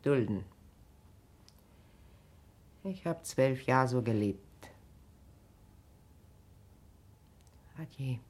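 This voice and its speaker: Slow, low-voiced, wronged country wife. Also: female voice, animated, darkish tone, heavily acted. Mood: resigned. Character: weary, bitterly wronged middle-aged country wife, resigned yet proud. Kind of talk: naturalistic German radio drama acting.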